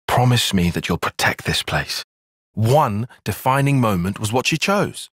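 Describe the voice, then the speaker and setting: A young military strategist for a video game cutscene. He is guarded and bookish, choosing exact, formal words with dry sarcasm. His pitch moves in a lively way, the voice is slightly husky, and the recording is close and dry. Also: a little slow, no echo, full character voice. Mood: solemn, wistful. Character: brainy, guarded young male soldier-tactician, prickly and analytical.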